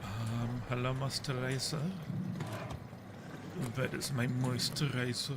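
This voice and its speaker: A man with a mid-pitched voice hosts a casual game stream. He is playful and jumpy, slipping between chatting to viewers and muttering to himself. There is clear noise behind his voice. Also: little pitch movement, takes it slowly. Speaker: live stream host.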